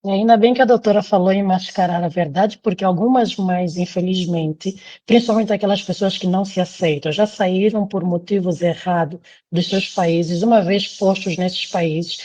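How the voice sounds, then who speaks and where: A woman's voice: fairly low pitch, fairly even intonation, moderate pace, hardly any pauses, dark tone, no echo. An Angolan woman with a Brazilian-tinged Angolan Portuguese accent, hosting a live talk and speaking emphatically, repeating phrases for stress. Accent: Angolan Portuguese with Brazilian influence. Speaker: live show host. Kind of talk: casual conversational interview.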